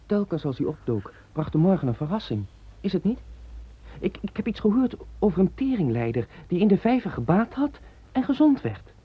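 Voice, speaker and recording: An actress voicing a curious, chatty schoolboy in a radio play. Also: female voice, mid-range voice, normal speed, breathy edge. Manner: lively, inquisitive. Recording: dull lo-fi recording.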